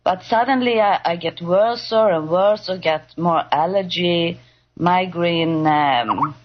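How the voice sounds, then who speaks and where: A woman from Sweden with a Swedish accent, speaking English as a second language, telling her story as a radio guest, pausing often to search for words. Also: lowish voice, dull audio. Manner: halting, searching for English words, many fillers. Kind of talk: personal testimony on a call-in show.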